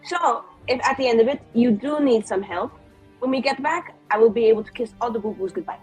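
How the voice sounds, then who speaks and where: A woman's voice: on the high side, a little fast, darkish tone, breathy edge, rough recording. A woman playing a tabletop roleplaying game, improvising in character in a playful, informal way. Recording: background music.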